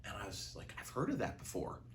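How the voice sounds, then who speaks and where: A man's voice: fairly low pitch, wildly swooping pitch, fast talker, whispered. An American man giving a conversational interview account as a theater art director, telling it like a storyteller.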